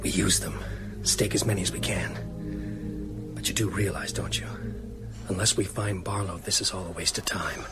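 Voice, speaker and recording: Man as grave, low-voiced hero. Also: steady tone, moderate pace, pauses often, over loud music, close to an everyday voice. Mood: grave, resolute. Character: grave, determined man leading a vampire hunt. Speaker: actor playing lead and narrator. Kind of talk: radio drama acting and narration.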